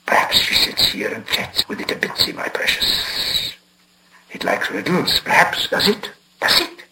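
A man's voice: a little high, very animated, a little slow, bright, forceful tone, voice pushed up, full character voice, rough recording. A man voicing a slimy, sly cave creature who hisses and whispers, wheedling and talking to himself in broken grammar. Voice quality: whispering, hissing.

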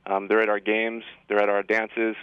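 A man's voice: lowish voice, monotone, normal speed, clear, resonant tone, no echo, highs cut off. A man speaking as a school administrator guest in an interview, explaining things in an assured way. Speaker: high school assistant principal guest. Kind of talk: interview answers.

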